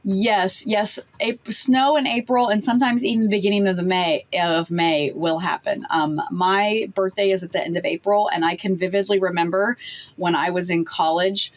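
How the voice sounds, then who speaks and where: A woman from the United States hosting an interview podcast. She reads out a formal introduction, then chats in a friendly, easy way. Her voice has a middle pitch. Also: fairly even intonation, moderate pace. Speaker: podcast host.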